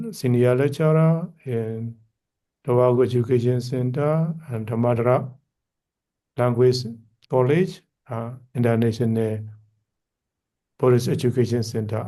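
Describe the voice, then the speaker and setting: A man's voice: medium pitch, steady tone, moderate pace, mellow. A man from Myanmar, the forum organizer, introducing himself in short earnest phrases on a video call.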